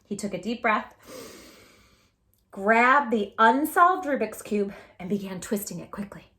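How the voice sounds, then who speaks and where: A woman hosting a children's read-aloud, expressive and encouraging, emphasising words and chatting directly to young listeners. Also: mid-range voice, a little slow. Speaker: storybook reader, channel host.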